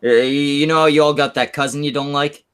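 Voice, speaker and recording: A man from Canada in a crude casual chat, deadpan and halting, mocking himself. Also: on the high side, clean tone.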